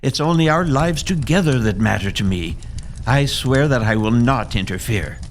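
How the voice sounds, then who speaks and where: An actor as an ardent Victorian suitor in a period radio play. His mid-pitched voice swings widely with earnest, romantic emphasis, over faint ambience. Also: expressive, moderate pace, slight background noise, natural voice. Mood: pleading devotion. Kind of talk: period audio drama acting.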